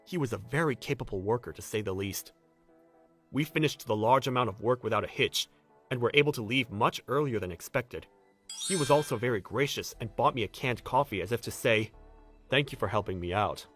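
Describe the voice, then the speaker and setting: A man's voice: medium pitch, brisk, fairly bright tone, soft background music. A man narrating a scripted first-person story in the style of an anime dub.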